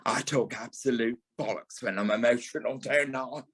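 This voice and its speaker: An actor playing a sentimental, camp middle-aged British performer in an audio play, with a theatrical, affectionate manner. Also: male voice, mid-range voice, normal speed, fairly bright tone, husky. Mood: rueful, tender. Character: sentimental, camp older male performer, wry and fond.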